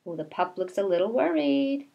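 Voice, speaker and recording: A middle-aged woman, a kindergarten teacher, reading a picture book aloud in a deliberate, expressive way with asides to young children. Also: a little high, creaky, highs cut off, slight background noise. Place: small bedroom at home, indoors.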